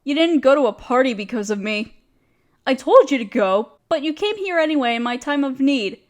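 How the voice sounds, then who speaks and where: A woman's voice: high-pitched, lively intonation, moderate pace. A woman playing an overworked, apologetic young woman confiding hesitantly in a close friend in an intimate audio roleplay. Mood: grateful. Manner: self-deprecating, hesitant, casual. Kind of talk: roleplay audio drama, intimate one-sided conversation.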